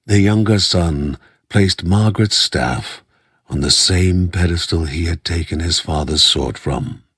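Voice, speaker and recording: A man playing a solemn storyteller, narrating a fantasy game like a storybook legend in measured, scripted phrases. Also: low voice, creaky, husky, dry recording, exaggerated voice. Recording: music behind the voice. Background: music.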